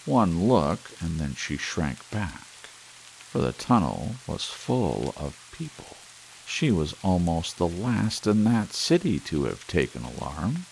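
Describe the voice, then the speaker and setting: A man narrating a story as a sleep audiobook in a measured, dramatic literary style with deliberate pauses. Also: low voice, rough recording. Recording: clear steady rain hiss behind voice. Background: rain sounds.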